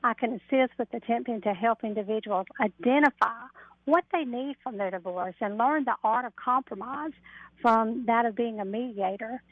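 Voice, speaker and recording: Female radio guest, middle-aged, higher-pitched voice, speaking over a thin telephone connection. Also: normal speed, dry recording. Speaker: interview guest, mediator and coach. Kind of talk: radio interview, explaining. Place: phoned into a radio show. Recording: narrow telephone line.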